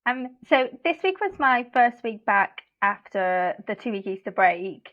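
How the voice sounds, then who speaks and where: A woman's voice: on the high side, very animated, measured pace. Chatty woman, dull lo-fi sound. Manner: chatty, laughs often. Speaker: guest, early years teacher. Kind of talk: casual podcast chat.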